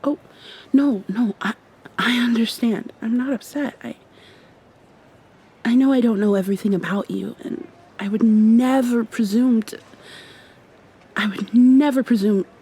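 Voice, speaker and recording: A woman playing a shy, flustered young vampire girl in love in an intimate ASMR roleplay, speaking haltingly and often dropping toward a whisper. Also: a little high, exaggerated voice. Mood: flustered, affectionate. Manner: soft, halting, breathy whispers and nervous laughs.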